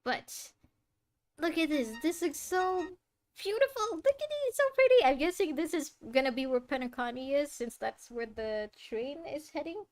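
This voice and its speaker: A young woman giving casual, excitable live commentary as a streamer, often exclaiming. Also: sits high, moderate pace. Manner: expressive, exclaiming. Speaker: streamer reacting to game trailers. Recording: loud game music behind. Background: game trailer music.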